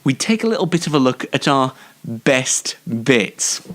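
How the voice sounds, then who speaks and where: A man's voice: mid-range voice, big pitch swings, a little slow. A young British man hosting an online comedy show, delivering a scripted monologue to camera in a wry, sarcastic, mock-formal way. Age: young adult. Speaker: host of an online entertainment show.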